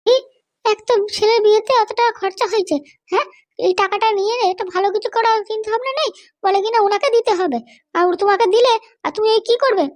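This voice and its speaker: A man voicing a bossy, short-tempered middle-aged cartoon wife with a West Bengal accent and a pitched-up voice. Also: fast, no room sound. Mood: scolding. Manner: scolding, threatening. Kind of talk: comic cartoon dialogue.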